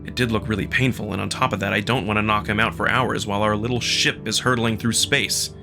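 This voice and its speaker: A man reading first-person fiction as a narrator, in an expressive, dramatic storytelling style. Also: fairly low pitch, fast talker, creaky. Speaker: narrator and performer of a visual novel. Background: music.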